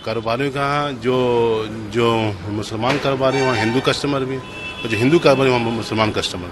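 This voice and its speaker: A man's voice: mid-range voice, steady tone, moderate pace, noisy background. A middle-aged or older man giving emphatic, explanatory interview answers, dropping in English words. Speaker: mosque committee president.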